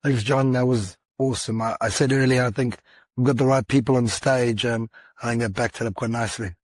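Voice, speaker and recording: A man's voice: medium pitch, steady tone, a little fast, no room sound. A man moderating a conference panel, thanking one speaker and introducing the next in a friendly, brief way.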